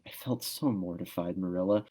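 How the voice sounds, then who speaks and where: A chatty, dreamy storybook schoolgirl, voiced by a man with a fairly low voice. She rambles on earnestly, full of gossip, wordy and dramatic. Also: normal speed, warm tone, slightly rough. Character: chatty, dreamy, dramatic eleven-year-old orphan schoolgirl.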